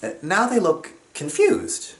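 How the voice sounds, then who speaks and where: A man from Jamaica telling a personal story on stage, expressive and acting out what other people say. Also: sits high, slow pace.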